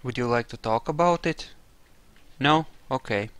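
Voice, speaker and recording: Man, gamer commentary, very slow deliberate delivery, musing aloud, clear smooth voice, mid pitch, dry recording. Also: fairly bright tone, dry recording. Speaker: gamer narrating a roleplay playthrough. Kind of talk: let's play commentary, narrating his character's reasoning.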